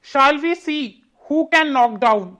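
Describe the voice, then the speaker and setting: A woman's voice: high-pitched, lively intonation, takes it slowly, fairly bright tone, full character voice. A woman voicing a proud, boastful male wind in a children's storybook, with a challenging manner.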